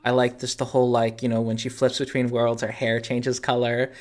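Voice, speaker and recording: Casual gaming podcast host, medium pitch, conversational delivery. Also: level tone, a little fast. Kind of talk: casual video game podcast chat.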